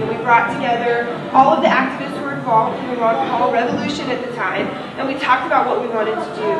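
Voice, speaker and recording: A woman from Missouri speaks to an audience with a stuffy nose and a scratchy throat, her delivery loose and full of fillers. The recording is distant and echoey, caught from across a large room. Heavy noise hisses underneath. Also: fairly high pitch. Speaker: activist giving a talk. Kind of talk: public talk to a live audience. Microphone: distant microphone.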